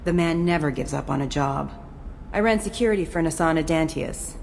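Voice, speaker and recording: An actress playing a jaded, tough ex-security chief in a video game, blunt and cynical. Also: female voice, sits low, fairly quick, creaky, a little mellow, a little hiss or hum. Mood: cynical, matter-of-fact. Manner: blunt, cynical, matter-of-fact. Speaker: game character. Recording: game soundtrack music behind.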